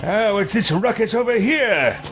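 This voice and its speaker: A man's voice: high-pitched, animated, unhurried, over music, pitched above the performer's own voice. A man voicing a haughty, power-hungry wizard in a theatrical, pompous manner for video game roleplay. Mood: scornful, boastful.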